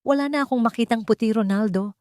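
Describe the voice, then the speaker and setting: Woman acting a quiet, defiant wronged wife. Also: a little high, no echo, voice pushed up, natural voice. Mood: calm defiance. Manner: measured, quiet, controlled. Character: calm, defiant betrayed wife, feigning meekness, secretly vengeful.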